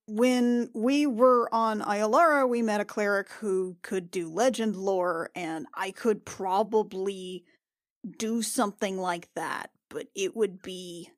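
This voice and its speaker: A woman's voice: medium pitch, no echo. Sweary woman player, dry close sound. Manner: sweary, halting. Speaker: player in a tabletop roleplaying game. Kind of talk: casual in-character improvised chat.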